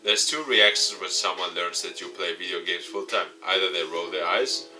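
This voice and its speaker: A man's voice: low-pitched, normal speed, bright, forceful tone. A man, a gaming YouTuber, reads visual novel text aloud with his own asides, stumbling over words at times. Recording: music playing behind the voice.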